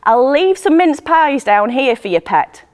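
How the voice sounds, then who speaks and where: A woman voicing a blunt, affectionate older choir friend, calling out loudly and colloquially in a dramatic monologue. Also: sits high, very animated, a little slow, long reverb tail. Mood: hearty, affectionate.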